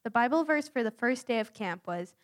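A young woman from the United States reading a prepared personal account aloud in an earnest, steady way. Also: fairly high pitch, brisk. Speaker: youth mission volunteer.

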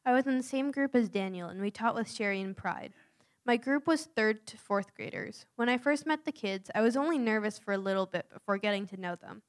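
A young woman from the United States reading a prepared personal account aloud in an earnest, steady way. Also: medium pitch, brisk. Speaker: youth mission volunteer.

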